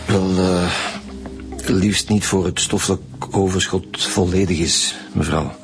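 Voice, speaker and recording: Casting: senior Flemish homicide detective, very low voice, curt, matter-of-fact, radio play with music clearly behind. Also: male voice, fairly even intonation, unhurried. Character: businesslike middle-aged lead homicide detective. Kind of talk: naturalistic radio drama acting.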